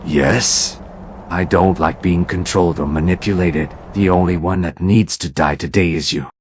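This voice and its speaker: A man's voice: sits low, fairly even intonation, moderate pace, noisy background, lightly acted. A man playing a terse, defiant hero in a fantasy video game, with blunt, questioning delivery. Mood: menacing. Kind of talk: voiced video game role-play dialogue.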